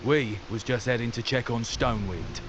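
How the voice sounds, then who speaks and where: A man's voice: medium pitch, moderate pace, over loud music, close to an everyday voice. A man playing a wary scout in a video game, colloquial and matter-of-fact. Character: wary, plain-spoken soldier scout. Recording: noisy outdoor ambience behind.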